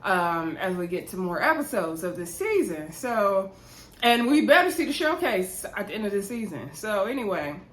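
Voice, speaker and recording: A woman's voice: medium pitch, sing-song, normal speed, fairly bright tone. Opinionated woman recapping in an echoey room. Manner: conversational, opinionated, addresses viewers directly. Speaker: YouTube reality show recap host. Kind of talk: casual chatty TV episode recap. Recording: roomy, echoey indoor sound.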